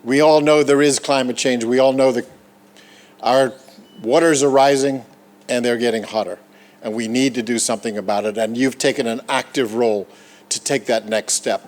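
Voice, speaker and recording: A man's voice: mid-range voice, fairly even intonation, normal speed. A man from the Bahamas giving an earnest, encouraging ceremonial speech at a podium as a Rotary Foundation leader. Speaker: Rotary Foundation trustee chair.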